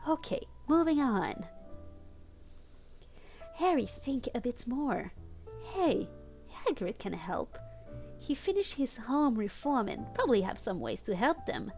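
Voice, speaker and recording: A woman streamer with English as a second language reads fan fiction at a slow, deliberate pace, her voice often creaky. She is amused and theatrical, dropping in casual asides over faint music. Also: fairly high pitch, sing-song, a little mellow. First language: not English.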